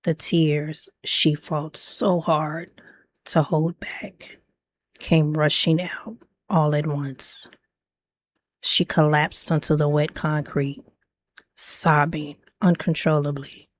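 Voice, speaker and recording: Low-voiced, unhurried woman narrator. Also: level tone, dry recording. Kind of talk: scripted dramatic story narration.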